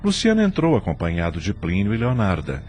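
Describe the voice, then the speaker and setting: A man narrating an audio drama from a script in an expressive, literary storytelling manner. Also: on the low side, fairly quick, soft background music.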